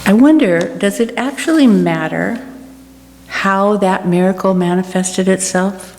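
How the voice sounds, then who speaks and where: Woman, church guest speaker, very unhurried and deliberate delivery, long hall reverb with some noise. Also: medium pitch, a little mellow. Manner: deliberate, with rhetorical repetition and pauses. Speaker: guest speaker for a food charity. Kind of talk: church reflection, delivered as a speech. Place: large church room. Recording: echoey hall with background noise and hum.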